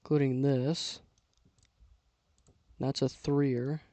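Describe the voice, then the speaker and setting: A young man giving casual, self-talking commentary while building with LEGO, losing his voice and clearing his throat now and then. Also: mid-range voice, very slow, dry recording. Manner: relaxed, mutters to himself while building.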